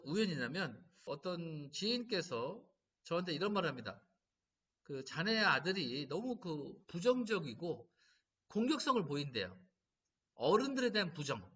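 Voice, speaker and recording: A man's voice: a little high, slightly rough. A middle-aged man giving a laughter therapy lecture to caregivers, telling stories and acting out quotes. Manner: lively storytelling, animated quoting. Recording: distant mic, dull lo-fi sound.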